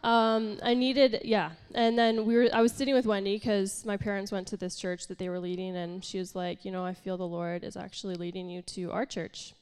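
A woman's voice: medium pitch, level tone, a little fast, few pauses, a little mellow, smooth. Congested, nasal woman preaching in a hall. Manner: candid, self-deprecating, chatty with many fillers. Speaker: pastor and worship leader. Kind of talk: informal sermon. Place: church hall.

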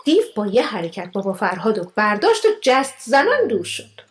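A woman's voice: medium pitch, big pitch swings, breathy edge. A woman narrating a children's radio play as a scripted storyteller.

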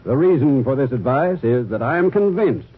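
A man reading a doctor's statement in a 1940s radio commercial, measured and formal. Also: mid-range voice, animated, husky. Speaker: ad voice quoting a doctor. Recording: tinny old radio broadcast.

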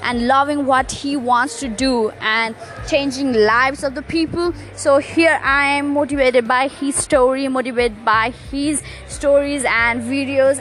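A woman's voice: high voice, fairly bright tone, a little hiss or hum. A young woman with a Nepali accent hosting a solo motivational podcast, upbeat, with many fillers and restarts. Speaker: podcast host. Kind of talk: casual solo podcast monologue.

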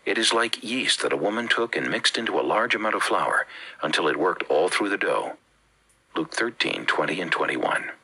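A man from the Bahamas with a Bahamian accent, reading a religious teaching with measured, emphatic phrasing. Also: low voice, animated, sharp tone, husky, poor audio. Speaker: preacher. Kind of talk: read-aloud religious teaching.

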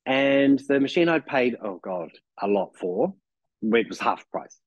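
A man's voice: medium pitch, very animated, unhurried, dry recording, muffled recording. A man in his forties with a New Zealand accent, chatting as a podcast guest and rambling with lots of "you know" and restarts. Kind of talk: casual chat.

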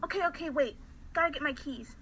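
A woman's voice: high voice, fast talker, background noise, close to an everyday voice. A woman playing a seventeen-year-old girl who is suspicious and defiant toward a stranger, in an amateur radio drama.

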